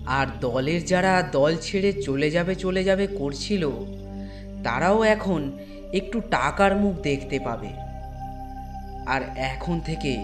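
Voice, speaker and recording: A man narrating a scripted horror story, dramatic, with deliberate pauses. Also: on the high side. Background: music.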